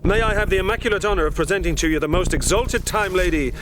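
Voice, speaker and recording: An actor playing an eager, flattering time-traveller who poses as a humble, bumbling assistant in an audio drama, speaking in florid, obsequious phrases. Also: male voice, high-pitched, fast talker, background noise, close to an everyday voice. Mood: grandly deferential.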